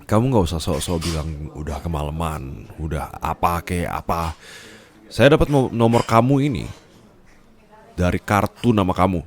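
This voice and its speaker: A man plays a bossy young office boss in a roleplay audio drama. His voice sits fairly low. He is curt and haughty, with a sarcastic edge. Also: animated, moderate pace, a little hiss or hum. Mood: irritated, sarcastic. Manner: haughty, scolding, insulting.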